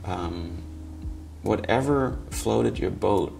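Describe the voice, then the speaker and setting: A middle-aged man speaks thoughtfully and slowly in a documentary interview. His deep voice is gravelly, with faint music underneath. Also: darkish tone.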